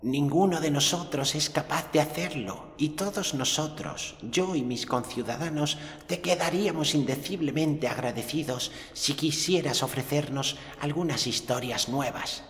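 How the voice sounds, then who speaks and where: A man reading as an old, dignified town elder, in a storybook reading. He speaks formally and deliberately, with a lifted voice. Long reverb hangs around each phrase. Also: on the high side, a bit bright, voice pushed up, close to an everyday voice. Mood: astonished, pleading. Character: old silver-haired man, stately courteous town elder.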